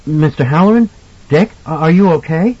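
A man's voice: on the high side, lively intonation, moderate pace, husky, highs cut off, voice pushed up. A man voicing an earnest, puzzled little boy who speaks politely and innocently in an audiobook. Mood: alarmed, worried. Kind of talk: audiobook character acting.

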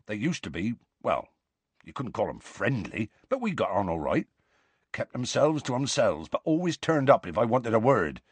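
A man voicing a huge, gruff, evasive gamekeeper with rustic colloquial speech in an audiobook. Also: lowish voice, sing-song, normal speed, no room sound. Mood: heavy, gruff. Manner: colloquial rural speech, dropped words.

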